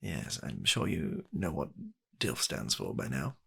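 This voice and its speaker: A British man softly playing a polite, fussy gentleman matchmaker in a comedic ASMR roleplay, hesitating and repeating his reassurances. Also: extremely low pitch, sing-song, measured pace, heavily acted. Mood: courteous, welcoming. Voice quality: gravelly, soft-spoken.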